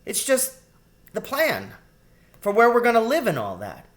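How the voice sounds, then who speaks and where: A man's voice: very high-pitched, measured pace, voice pushed up, heavily acted. A man voicing a small young girl rat in a storybook, childish, wary and chatty. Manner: childish, excitable, suspicious questioning. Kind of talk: storybook character voice in a read-aloud.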